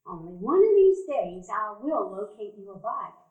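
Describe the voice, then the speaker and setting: An actress playing a gentle, folksy elderly cousin who dotes on a boy, in a live radio play. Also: mid-range voice, big pitch swings, moderate pace, phone-quality sound, lightly acted. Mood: folksy fond. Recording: roomy live stage recording.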